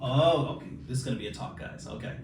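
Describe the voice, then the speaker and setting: A man's voice: medium pitch, quick pace. A man co-hosting a podcast in casual, playful, slangy banter about books with a friend. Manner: playful, slangy, acts out quoted lines. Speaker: podcast co-host. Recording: echoey room, distant mic, clear noise.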